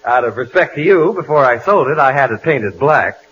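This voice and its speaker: A man's voice: medium pitch, animated, brisk, dull audio. A man announcing and joking along in a 1940s radio comedy, upbeat. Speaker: announcer and cast member. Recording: noisy 1940 radio broadcast.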